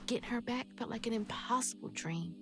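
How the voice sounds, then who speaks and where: A woman's voice: on the high side, a little fast, creaky. A woman acting as a determined, emotional young woman searching for her mother in a video game cutscene. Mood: reflective, wistful. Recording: game music behind the voice.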